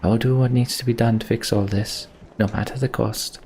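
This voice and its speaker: A man voicing an earnest, devoted sorceress in a fantasy video game, measured and sincere. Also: medium pitch, fairly even intonation, a little mellow, slight background noise, lightly acted. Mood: earnest, tender. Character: earnest, devoted female mender sorceress, solemn.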